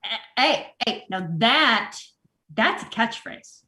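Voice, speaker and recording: A woman's voice: fairly high pitch, animated, slow pace, sharp tone, heavily acted, rough recording. A woman playing a disorganized, exasperated female store manager in a comedy table read. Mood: deadpan. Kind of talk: virtual table read of a sitcom script.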